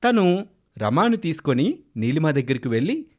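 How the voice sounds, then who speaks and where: A man reads a scripted children's tale as its storyteller. His voice sits a bit high and moves about in lively swings of pitch. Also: normal speed.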